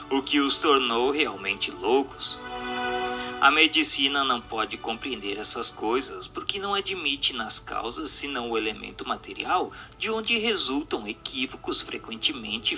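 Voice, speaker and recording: A Brazilian man reading a book aloud as scripted narration, in a formal, measured and emphatic way. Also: medium pitch, sharp tone. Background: music.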